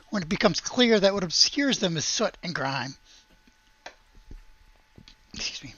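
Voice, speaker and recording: A woman with a slightly husky, gravelly voice running a tabletop roleplaying game as game master, moving between casual talk and read-aloud narration. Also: lowish voice, bright, forceful tone, dry recording, dull audio.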